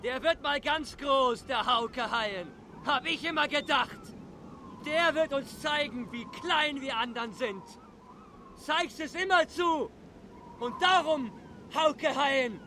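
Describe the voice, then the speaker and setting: A man's voice: extremely high pitch, slow pace, pushed and bright, exaggerated voice. An actor playing a bitter, jealous dike worker in a German radio play, accusing his rival emphatically. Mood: scornful. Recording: noisy outdoor scene with crowd behind.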